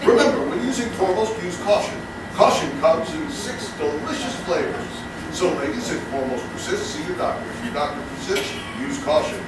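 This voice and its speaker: A man's voice: a little high, lively intonation, fairly quick, hardly any pauses. A man doing scripted comedy banter on stage in a showy mock-announcer style. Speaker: comedic guest performer in a live stage show. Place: live stage in a theater, before an audience. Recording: very echoey hall, distant mic, noisy.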